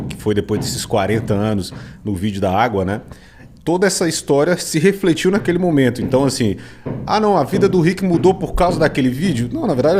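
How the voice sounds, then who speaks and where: A man with a Brazilian accent chatting casually as a podcast host, often tagging his points with questions seeking agreement. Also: a little high. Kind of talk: casual interview chat. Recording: clearly noisy background, clicks.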